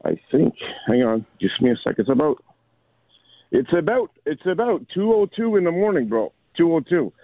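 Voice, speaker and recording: A man's voice: on the high side, sing-song, moderate pace, no room sound. A man in his sixties calling in to a radio show from a coffee shop, rambling and repeating himself in a chummy way. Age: 60s. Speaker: caller. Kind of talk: rambling phone-in call. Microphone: phone. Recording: tinny dry phone line.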